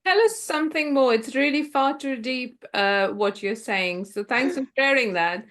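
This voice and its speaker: A woman's voice: high-pitched, animated, normal speed, fairly bright tone. A woman interviewing a guest, laughing easily and encouraging him to go on. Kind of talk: casual interview.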